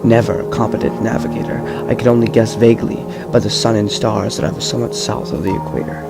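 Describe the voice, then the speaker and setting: Young man, low gravelly voice, slow measured amateur reading, loud music underneath. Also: steady tone. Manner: slow, measured first-person reading with occasional stumbles. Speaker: narrator reading a story. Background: loud background music.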